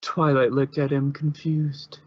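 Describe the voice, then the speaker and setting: A man's voice: mid-range voice, flat delivery, a little slow, slightly rough, dry recording, lo-fi sound. A man reading scripted story narration, as the narrator of an amateur audio drama.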